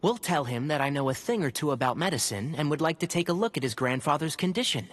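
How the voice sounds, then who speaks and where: A man's voice: a little high, animated, fast talker, a little hiss or hum, close to an everyday voice. An actor playing a thoughtful, polite young male healer in a video game, articulate and earnest as he reasons things through. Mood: confident. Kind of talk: video game voice acting, conversational explanation. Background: game music.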